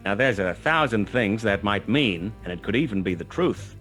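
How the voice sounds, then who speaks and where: Low, forceful hard-boiled detective. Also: male voice, animated, normal speed, soft background music. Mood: tense. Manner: clipped, wry, dramatic. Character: world-weary, wry, tough male hard-boiled private detective. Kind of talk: 1920s hard-boiled radio play narration.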